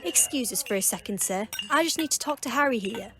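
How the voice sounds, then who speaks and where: A woman's voice: on the high side, expressive, a little fast, natural voice. A woman acting a prickly, defensive young solicitor who works behind a bar, in an amateur audio drama, curt and indignant. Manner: curt, indignant, sharp-tongued.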